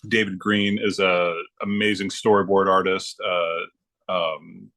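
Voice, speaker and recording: A middle-aged American man with a lowish voice chats as a podcast guest. His delivery is easygoing and halting, full of small hesitations. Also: flat delivery, bright, forceful tone. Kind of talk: casual chat.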